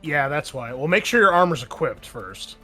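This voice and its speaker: A man running a tabletop game as game master, in a casual, relaxed way with frequent fillers. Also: fairly high pitch, moderate pace, soft background music.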